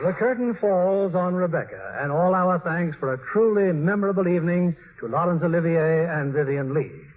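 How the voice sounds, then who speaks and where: A man's voice: on the high side, lively intonation, moderate pace, few pauses. A man hosting a 1950s radio show, delivering scripted interview lines in a formal, polished announcer manner. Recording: tinny, faintly hissy 1950s radio.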